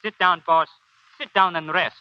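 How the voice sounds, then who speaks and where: An actor playing a timid, placating sergeant's sidekick in a 1940s radio drama, pleading in short simple phrases. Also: male voice, a little high, fairly even intonation, moderate pace, clear, resonant tone, natural voice. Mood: resigned soothing. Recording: dull 1940s radio recording, faint music.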